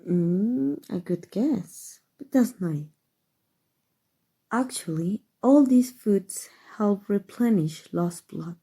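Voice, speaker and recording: A very mellow, dark-toned vampire lady, played by a woman with a Mexican accent. She is centuries old, elegant and gently possessive. She speaks slowly and tenderly in a breathy ASMR roleplay style. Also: mid-range voice, animated, full character voice. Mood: tender, gracious. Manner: soft, tender, formal and unhurried endearments.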